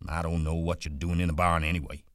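A proud, aloof stable hand snaps back in a curt, defensive, bitter way, as a man reading aloud puts him on. The low voice is raised somewhat and sounds dry and close. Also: flat delivery, fast, dry recording, lightly acted. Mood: scornful.

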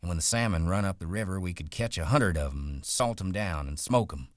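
A man voicing a ranch worker who talks in a rustic drawl, brisk and rolling. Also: deep voice, no echo, clean studio sound, lightly acted. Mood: dreamy. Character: wiry male ranch worker, guarded and dreaming.

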